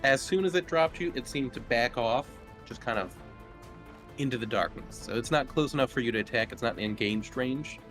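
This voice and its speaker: A man's voice: mid-range voice, brisk, a bit bright. Casual man running a tabletop game. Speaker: game master. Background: game music.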